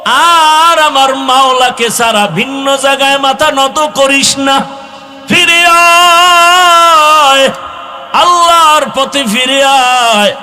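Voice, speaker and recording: Male Islamic preacher, Bangladeshi accent, sharp and forceful, impassioned chanting delivery, long echoing reverb. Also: extremely high pitch. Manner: impassioned, chanting, drawn-out exhortations. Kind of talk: waz sermon to young people. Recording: long hall reverb with music behind.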